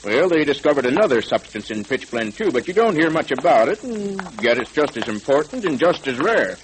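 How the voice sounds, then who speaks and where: An actor in a vintage radio serial playing a genial police captain, explaining things in a folksy, avuncular way. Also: male voice, mid-range voice, very animated, close to an everyday voice. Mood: fondly explaining. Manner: avuncular, explanatory, hesitant pauses. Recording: crackly old radio recording.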